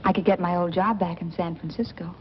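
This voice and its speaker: A woman's voice: lowish voice, steady tone, brisk, lightly acted. Actress as wisecracking flirty 40s noir woman, small town and restless, muffled film sound w/ some noise. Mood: flirtatious, probing. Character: worldly, teasing small-town woman itching to leave. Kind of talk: 1940s film noir acting.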